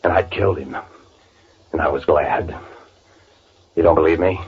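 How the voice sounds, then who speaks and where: An actor playing a bitter, gloating murderer who poses as his twin, confessing in halting first-person 1940s radio drama narration. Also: extremely low pitch, slight background noise. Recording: tinny vintage radio recording with music.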